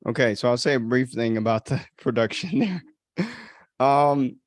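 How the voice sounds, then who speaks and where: A man's voice: mid-range voice, fairly even intonation, normal speed, no echo. A man hosting a casual livestream commentary on his own work as an author, thinking aloud in an informal, self-critical way. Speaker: author and podcast host.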